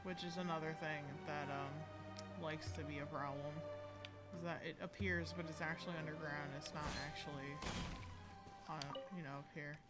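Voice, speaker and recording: A woman's voice: low voice, fairly even intonation, normal speed. A woman giving casual live gameplay commentary, rambling along and playfully talking to the game. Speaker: game streamer. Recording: game music behind the voice. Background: loud video game music.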